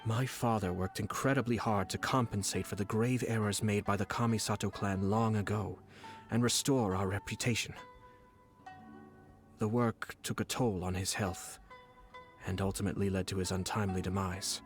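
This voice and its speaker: An actor playing a composed noble male commissioner who speaks smoothly and courteously, with quiet menace. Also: on the low side, fairly even intonation, normal speed, soft background music, close to an everyday voice. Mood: solemn. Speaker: clan commissioner. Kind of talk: game voice acting.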